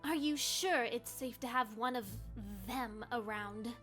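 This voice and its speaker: A woman's voice: on the high side, measured pace, fairly bright tone, faint music behind. A woman playing a haughty, domineering young female dragon, imperious and scornful, in a fantasy audio drama.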